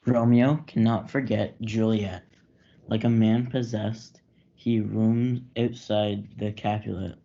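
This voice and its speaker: A man's voice: on the low side, little pitch movement, takes it slowly, gravelly, warm tone. A young man reading scripted narration for a student reader's theatre play. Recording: dull lo-fi audio.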